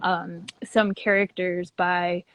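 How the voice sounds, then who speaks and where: A woman's voice: lowish voice, little pitch movement, takes it slowly, a bit bright. A woman chatting casually and cheerfully as a guest in an online video interview. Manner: upbeat, chatty. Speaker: puppet theater director, interview guest. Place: at the theater, moving between outside and lobby on a video call. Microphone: phone or laptop on a video call.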